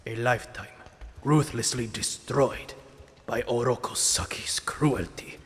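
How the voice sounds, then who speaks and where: A man playing an aged rat sensei and stern, loving father in an audio drama, speaking formally and gravely. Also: mid-range voice, unhurried, natural voice. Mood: grave, sorrowful. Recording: long reverberant hall echo.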